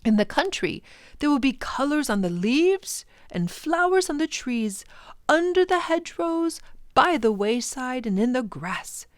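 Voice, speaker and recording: An impassioned storybook professor full of wonder, voiced by a woman reader. The delivery is high and very animated, urging with dramatic emphasis and sudden hushed words. The sound is close and dry. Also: unhurried, no room sound. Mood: enthusiastic, wondering. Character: older male professor, passionate, earnest, wonder-filled teacher. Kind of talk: children's storybook read-aloud.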